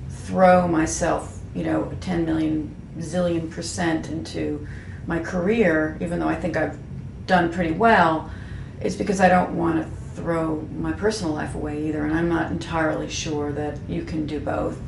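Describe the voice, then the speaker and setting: A woman's voice: low voice, flat delivery, normal speed, vocal fry, few pauses. A woman in her fifties, a graphic designer, speaking frankly and self-deprecatingly in an interview, with many fillers and restarts. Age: 50s. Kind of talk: candid reflective interview talk. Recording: echoey room with faint background hum.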